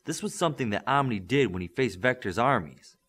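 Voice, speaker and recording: A man's voice: mid-range voice, lively intonation, fairly quick, fairly bright tone, slightly rough. A man voicing a firm, encouraging male superhero coach and mentor in an audiobook. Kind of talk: audiobook character acting.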